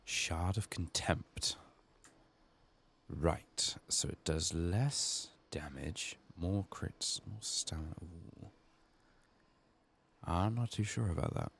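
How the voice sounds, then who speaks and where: A man giving soft-spoken, partly whispered game playthrough commentary, murmuring his thoughts aloud in an unhurried, casual way, his voice strained from being sick. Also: sits low, very animated, creaky, slightly rough. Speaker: gaming video host.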